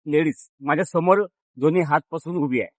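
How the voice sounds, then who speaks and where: A middle-aged man, informally telling a personal story in a halting, plain, step-by-step way. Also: on the high side, no room sound. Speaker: vlogger's father, guest storyteller.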